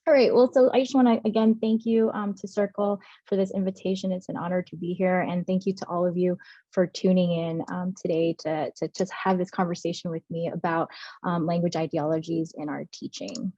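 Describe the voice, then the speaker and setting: A woman presents an academic webinar over a video call, earnest and thoughtful, with frequent hesitations. Her voice sits low and mellow. The sound is dry and dull, like lo-fi call audio. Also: steady tone, a little fast, dry recording. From United States. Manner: earnest, many "um" fillers.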